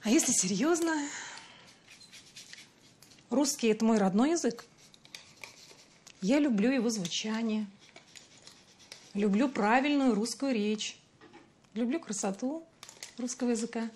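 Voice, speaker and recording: An actress playing a patient, playful mother in her forties with a Russian accent, gently explaining things to her child in a TV sitcom. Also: fairly high pitch, unhurried, long pauses, slight background noise, close to an everyday voice. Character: bilingual mother, patient, fond of teaching. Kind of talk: television sitcom acting.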